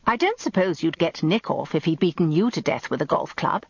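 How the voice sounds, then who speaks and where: An actress playing a sharp-tongued, merciless older wife in a radio drama, British, with a dry, imperious manner. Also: female voice, deep voice, lively intonation, a little fast, gravelly, husky, no room sound, lo-fi sound, full character voice. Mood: dry disapproval. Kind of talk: radio drama first-person narration and dialogue.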